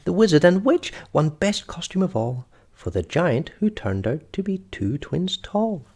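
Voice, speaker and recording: A man's voice: fairly high pitch, sing-song, measured pace, a little mellow, husky. A man reading a children's story aloud expressively, as storyteller and host.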